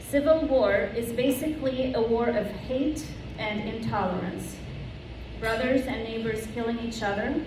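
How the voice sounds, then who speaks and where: A woman in her fifties speaks slowly and earnestly, reading a prepared talk to her club. Her voice sits a little high. She is picked up from across an echoey meeting room, with steady background noise behind her. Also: recorded from a distance. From Lebanon. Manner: slow, earnest, read from notes. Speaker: club member giving a talk. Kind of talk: prepared personal speech.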